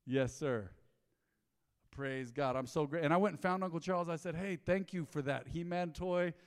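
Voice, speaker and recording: A man preaches in a church sanctuary. His voice sits a touch high and rings with the long reverb of a big hall. He tells stories in a folksy way, slipping into low asides and then rising to loud, emphatic bursts. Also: moderate pace, clear, resonant tone. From Texas. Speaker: pastor. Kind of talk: sermon.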